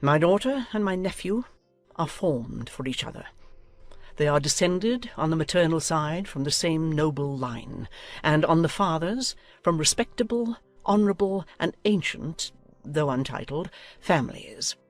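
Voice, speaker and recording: A woman's voice: low-pitched, lively intonation, unhurried, faint music behind. A woman playing a haughty, domineering older noblewoman in a dramatized audiobook, speaking with imperious, declamatory emphasis. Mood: haughty, indignant.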